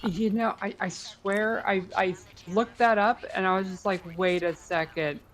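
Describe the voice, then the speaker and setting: A woman's voice: mid-range voice, steady tone, a little slow. A woman chatting casually with friends during an online game, hesitant and often restarting her sentences. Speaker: friend in group game chat. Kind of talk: casual gaming chat.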